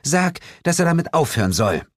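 A man's voice: a little high, big pitch swings, moderate pace, no room sound, studio quality, voice pushed up. A man voicing a touchy, complaining wife in a whining, reproachful manner for an audiobook.

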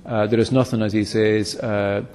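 A man, a philosophy lecturer, explaining history at length in an interview, halting often to restart and correct himself. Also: fairly low pitch, flat delivery, darkish tone. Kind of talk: explanatory academic interview answers. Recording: long reverb, echoey room.

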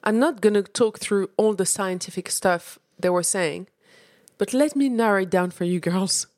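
A woman's voice: medium pitch, moderate pace, darkish tone, no echo, clean studio sound. A woman co-hosting a casual chat podcast, explaining health facts with conviction. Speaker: podcast co-host.

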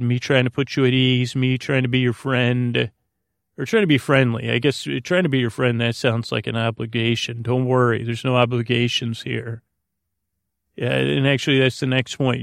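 A man hosts a bedtime podcast in a creaky, gravelly voice, recorded very dry. He meanders soothingly from thought to thought, stammering and doubling back on his words. His pitch stays fairly contained as he talks directly to the listener. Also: no room sound. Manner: meandering, halting, repetitive, stammering; soothing, lulling. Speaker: podcast host. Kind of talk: rambling bedtime monologue to the listener.

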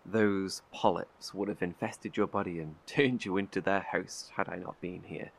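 A man's voice: low voice, fairly even intonation, normal speed, vocal fry. An actor playing a wary, guilt-ridden male survivor in an audio drama, terse, guarded and defensive. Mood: dry, guarded.

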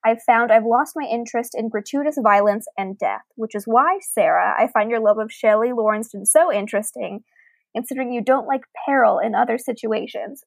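A woman with a fairly high voice co-hosts a podcast. She speaks in an articulate, conversational way, whether reading aloud or chatting. The sound is close and dry, with very little room in it. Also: brisk, creaky, no room sound. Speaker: podcast co-host. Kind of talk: reading listener email aloud and casual book discussion.

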